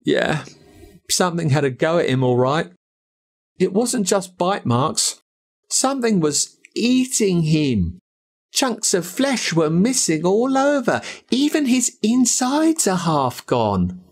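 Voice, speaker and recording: Guy doing an upset aussie kid from a storybook, shaken and plaintive, voice pushed up high and swinging about. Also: sing-song, slow pace, heavily acted. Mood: shaken, grim. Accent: Australian. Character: upset young boy whose dog has died.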